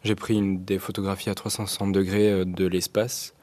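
Soft-spoken hesitant young man. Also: low-pitched, flat delivery, normal speed. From Algeria. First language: Arabic. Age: 20s. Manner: hesitant, many fillers and restarts. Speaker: guest artist. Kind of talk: radio interview.

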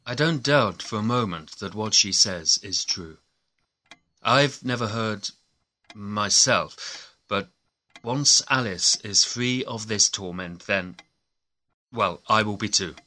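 A man's voice: lowish voice, slow pace, pushed and bright. An actor playing an anxious, eager-to-please husband in an audio drama, hesitant, earnest and devoted to his troubled wife.

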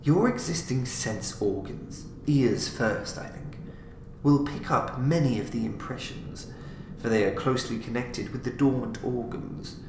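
Gloating mad scientist, acted by a male reader, emphatic lecturing, swoops up in pitch, noisy roomy audio. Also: a little slow. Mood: fervent lecturing. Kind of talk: audiobook character acting. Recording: roomy, clearly noisy home recording.

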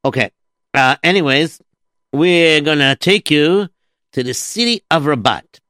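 A man's voice: on the high side, expressive, unhurried, pushed and bright, no echo. A man hosting a comedic children's story show, patient and dryly teasing toward his sidekick. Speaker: storyteller host.

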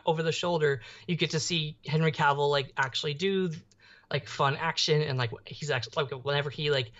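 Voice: mid-range voice, fairly bright tone. Young podcast cohost just chatting, rambly, keeps hunting for words, kinda muffled lo-fi audio. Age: young adult. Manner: rambling, hesitant, searching for words. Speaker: podcast co-host. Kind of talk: casual pop culture discussion.

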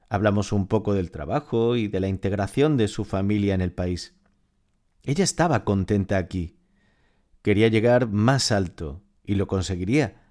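A man's voice: lowish voice, darkish tone, lightly acted. A man playing a smitten, wistful narrator reading scripted literary audio fiction. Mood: tender. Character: smitten, wistful male narrator, devoted to his beloved.

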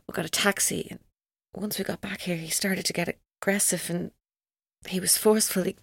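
Tormented young Irish woman, hesitant and husky. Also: fairly low pitch, steady tone, no room sound. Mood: guarded, bitter. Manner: hesitant, halting, guarded, breaking off mid-sentence. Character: tormented, evasive young woman giving police her story. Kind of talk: naturalistic audio drama acting.

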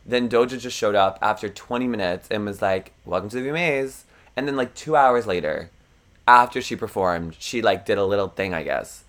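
A man's voice: lowish voice, lively intonation, brisk, fairly bright tone. A young man with a froggy voice, co-hosting a podcast in rambling, self-deprecating casual chat and laughing often. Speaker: podcast co-host.

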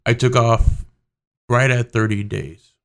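A man's voice: medium pitch, fairly even intonation, slow pace, vocal fry. A man in his forties, an army officer, answering interview questions in a direct, matter-of-fact way. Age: 40s.

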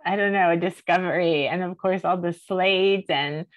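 A woman's voice: lowish voice, steady tone, moderate pace, no echo, phone-quality sound. A woman hosting a casual, chatty conversation in an informal, enthusiastic way. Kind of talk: casual chat.

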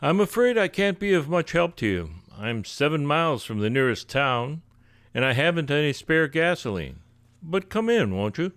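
An actor playing a courteous, hospitable country doctor in a 1940s-style radio drama, genial and formal. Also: male voice, a little high, big pitch swings, normal speed, a bit bright, clear, resonant tone, no room sound. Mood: courteous, welcoming.

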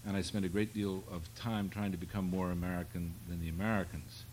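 A man in his sixties with a lowish voice moderates a live panel before an audience. He talks in a wry, rambling, self-deprecating way, and a faint hum of the room sits behind him. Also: flat delivery, a little fast, a little mellow, low-quality recording. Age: 60s.

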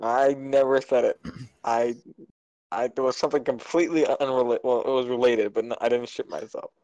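A man's voice: medium pitch, fairly even intonation, fairly quick, a little mellow, highs cut off. A young man co-hosting a podcast, joking around and getting defensive with his friends. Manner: defensive and jokey. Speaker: podcast co-host. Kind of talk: casual banter among friends.

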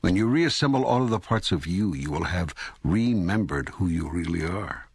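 An older man playing God as a calm, patient, authoritative teacher in an audiobook, speaking in a measured, deliberate way with a gravelly, husky voice. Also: low voice, no echo, studio quality, exaggerated voice. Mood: calm, instructive. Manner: measured, deliberate, emphatic phrasing with pauses. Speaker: audiobook narrator. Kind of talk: scripted audiobook dialogue reading.

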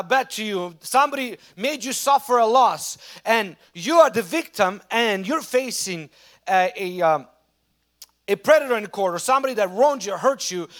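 A male preacher with a Russian accent, high in pitch for a man. He preaches a sermon and slips into animated storytelling, restarting phrases as he goes. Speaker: pastor.

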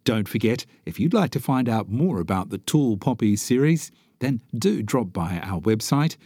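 A man hosting a podcast, reading a measured, scripted closing announcement. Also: fairly low pitch, animated, darkish tone, husky, no echo, studio quality. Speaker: podcast host. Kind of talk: scripted outro.

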